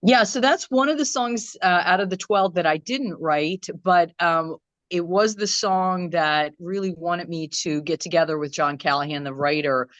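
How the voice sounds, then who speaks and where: A woman musician from Croatia, answering interview questions in a casual chat, thoughtful and rambling with many pauses and restarts. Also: lowish voice, fairly bright tone, no echo. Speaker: musician guest. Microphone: video call.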